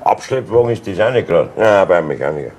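An actor playing a dry, probing Bavarian police inspector in a 1970s radio crime play, questioning in a measured way. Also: male voice, deep voice, lively intonation, darkish tone. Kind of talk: 1970s German radio crime drama acting. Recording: faintly noisy vintage radio play recording.

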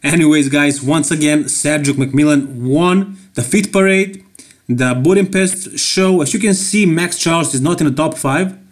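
A man's voice: a little high, unhurried. Joking Serbian man on bodybuilding commentary. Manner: chatty, joking, laughs often. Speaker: bodybuilding YouTuber. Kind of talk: casual voice-over commentary.

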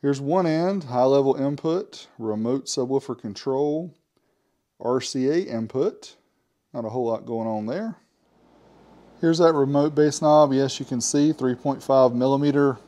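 Host type: man, forties, easygoing unboxing patter, mid-pitched, jokes to himself. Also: a little slow, warm tone. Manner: chatty and joking, talks to himself. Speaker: host reviewing car audio gear. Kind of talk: casual product unboxing and review.

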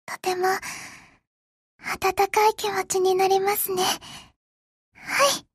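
A sweet little anime game girl, extremely high in pitch. She speaks slowly, softly and politely, recorded close and dry. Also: little pitch movement, no room sound. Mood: shy, grateful. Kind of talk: anime game character voice acting.

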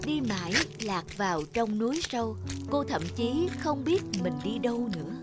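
A woman's voice: a little high, big pitch swings, normal speed, over loud music. A woman narrator reading a scripted fairy tale for a children's cartoon.

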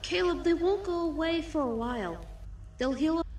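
A woman playing a caring, world-weary young sister in an amateur comic dub, with measured dramatic delivery. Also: very high-pitched, expressive, over loud music. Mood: exasperated care.

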